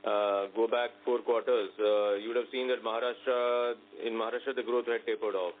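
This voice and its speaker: A man with an Indian accent answers as a company executive on an earnings call, explaining business in a halting, measured way. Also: mid-range voice, flat delivery. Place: conference call. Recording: narrow phone line with faint noise and hum.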